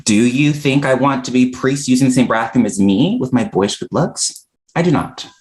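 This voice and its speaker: A man's voice: mid-range voice, normal speed, darkish tone, natural voice. A man acting a frank, exasperated young non-binary dinner guest in a comedy script table read, talking in a candid, wry way. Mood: exasperated, sardonic.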